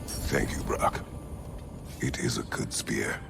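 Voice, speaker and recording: A man voicing a stern, weary Spartan warrior god in a video game, terse, formal and grave, with a very deep gravelly voice. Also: steady tone, slow, music in the background, exaggerated voice. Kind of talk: video game character acting.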